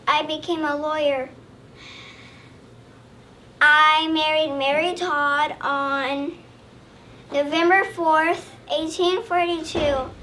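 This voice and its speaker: A young girl of about eight or nine giving a halting, rehearsed school presentation in the first person as a historical figure. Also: sits high, bright, forceful tone. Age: child, about 8 or 9. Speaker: third grade student presenter. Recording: distant mic, faint room noise.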